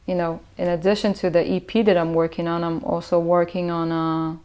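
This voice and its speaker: A young Israeli woman, a native Hebrew speaker, talks about her work in a low, level voice that barely rises or falls. She thinks aloud, pausing on fillers and restarting her sentences in an unhurried, reflective interview manner. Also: level tone, darkish tone, smooth. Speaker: musician. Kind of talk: interview answers.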